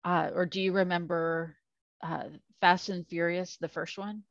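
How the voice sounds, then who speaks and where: A woman's voice: fairly low pitch, little pitch movement, measured pace, no echo, muffled recording. A woman co-hosting a podcast in casual chat, rambling with lots of fillers. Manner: rambling, filler-heavy, self-deprecating. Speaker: podcast co-host.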